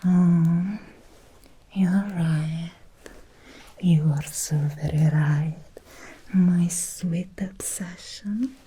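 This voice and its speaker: A woman playing an obsessed, seductive Victorian vampire in an ASMR roleplay, whispering softly and slowly in an intimate, breathy voice. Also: on the low side, fairly even intonation, a little hiss or hum. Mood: possessive. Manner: slow, intimate, deliberate with long pauses. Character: obsessed, seductive Victorian female vampire, hungry yet restrained.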